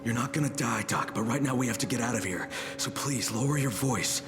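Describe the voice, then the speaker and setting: A man's voice: medium pitch, a little fast, over music, lightly acted. Man as male operative, mid-range voice, reassuring, urgent, gritty, video game cutscene. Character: calm, protective, gritty male operative under pressure.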